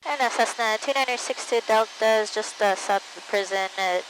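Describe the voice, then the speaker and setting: A woman's voice: fairly high pitch, normal speed. A woman flying a small plane, making aviation radio calls. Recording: clearly noisy aircraft cockpit radio. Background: aircraft cabin noise.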